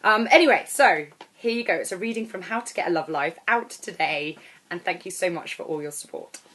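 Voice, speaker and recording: A woman reading aloud as an author, in expressive storybook narration. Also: lowish voice, a little fast. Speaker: author reading own novel.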